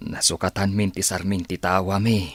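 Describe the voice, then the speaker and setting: A man's voice: deep voice, little pitch movement, moderate pace, no room sound. A man playing a stern, aggrieved neighbour in a radio drama, speaking bluntly and admonishing. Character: stern aggrieved male neighbour, a parent scolding. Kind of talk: Filipino radio drama acting.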